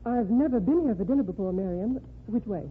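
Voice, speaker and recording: A woman's voice: medium pitch, expressive, a little fast, lightly acted. An actress in an old-time radio mystery playing a haughty, self-possessed society woman with a grand manner. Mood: polite. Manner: grand, cool, indignant when challenged. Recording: tinny, hissy old radio recording.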